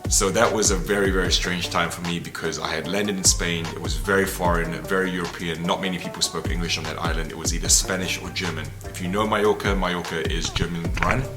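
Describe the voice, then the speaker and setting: A man's voice: deep voice, steady tone, fast, few pauses. A man, telling his life story to camera in a candid, reflective, casual way. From New Zealand. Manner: reflective and candid, loose and conversational. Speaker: travel content creator. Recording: voice over loud background music. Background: music.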